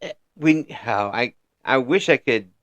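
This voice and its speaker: A man in his fifties hosting a casual chat interview, talking in a halting, rambling way with repeated words. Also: mid-range voice, expressive, a bit bright, no echo. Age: 50s. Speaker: interview show host.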